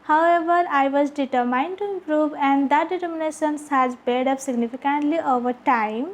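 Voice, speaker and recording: A woman's voice: high voice, moderate pace. A woman who speaks English as a learned language, giving a scripted monologue as a video creator. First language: not English. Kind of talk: scripted monologue, read aloud.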